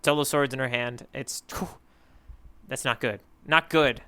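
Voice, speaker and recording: Bright, clear-voiced male podcast co-host. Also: mid-range voice, fairly even intonation, unhurried. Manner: rambling, hesitant with many fillers, laughs easily. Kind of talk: casual chat recapping a manga chapter.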